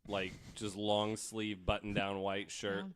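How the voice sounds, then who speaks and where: A man with a voice a bit lower than average, co-hosting a podcast. He chats casually and drops in wry, dry remarks as banter. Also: flat delivery, takes it slowly, fairly bright tone, a little hiss or hum. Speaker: podcast co-host.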